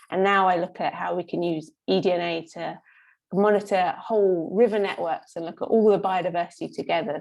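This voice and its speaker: A woman's voice: lowish voice, gravelly, darkish tone. A British woman from the UK introducing her research on a video call, chatty and hesitant with many fillers. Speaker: panelist, aquatic ecologist.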